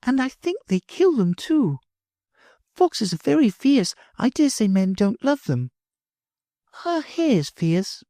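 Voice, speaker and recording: A woman reading an audiobook, voicing a gentle, earnest little girl in a soft, pleading way. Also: fairly high pitch, very animated, takes it slowly, no room sound, clean studio sound, voice pushed up, full character voice. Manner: soft, pleading, wide-eyed. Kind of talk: audiobook character voice.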